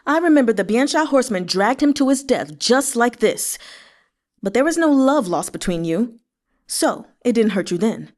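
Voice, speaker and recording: A woman's voice: a little high, lively intonation, moderate pace, a little mellow, close to an everyday voice. A woman voicing a cruel, sneering male villain with mocking, domineering delivery in a historical novel read-aloud.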